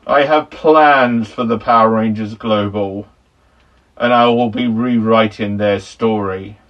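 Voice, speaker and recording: Very slow, menacing tyrant villain played by a man. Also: lowish voice, muffled recording. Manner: slow, deliberate, pompous. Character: menacing multiversal tyrant villain, self-styled king ranger. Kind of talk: amateur audio drama villain acting.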